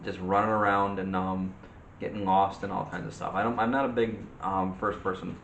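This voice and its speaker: A man co-hosting a casual movie chat, giving opinions in a halting, rambling, repetitive way. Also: sits low, flat delivery, gravelly, a bit bright. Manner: halting, rambling, repeats himself. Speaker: co-host of a movie discussion show. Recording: roomy echo with faint background noise.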